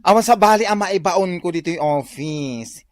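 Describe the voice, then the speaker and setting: A woman playing a busy, hurried office coworker. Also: on the low side, animated, dry recording, natural voice. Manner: hurried, persuading. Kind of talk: Ilocano radio drama comedy acting.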